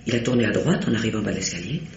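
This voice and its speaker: A man's voice: lowish voice, lively intonation, racing, natural voice. A man playing a deferential, uneasy château servant in a radio play, respectful and earnest. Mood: worried, insistent. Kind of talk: French radio play acting. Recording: muffled, echoey, noisy old radio recording.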